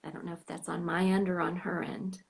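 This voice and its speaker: An American woman hosting a fan club live stream over a video call, chatting casually, sometimes trailing off into soft whispers. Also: fairly low pitch, little pitch movement, a little fast, gravelly.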